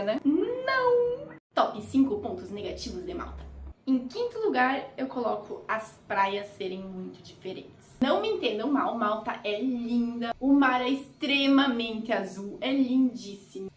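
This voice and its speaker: A woman's voice: fairly high pitch, distant mic, slight background noise. Chatty woman vlogger. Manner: chatty, animated, jokey asides. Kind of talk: casual travel vlog. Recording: roomy echo, off-mic.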